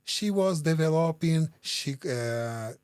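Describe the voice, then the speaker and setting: A man from Brazil with a Brazilian Portuguese accent, a native Portuguese speaker and interview guest, speaking in halting English and searching for words with many restarts. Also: on the high side, animated, a little mellow, clear, resonant tone, dry recording. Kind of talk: interview answers.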